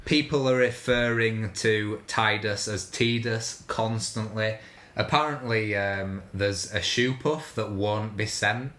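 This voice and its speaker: A man's voice: fairly low pitch, slow pace, a bit bright. A man co-hosting a casual panel discussion, talking in a critical, incredulous manner. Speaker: podcast co-host. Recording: roomy echo.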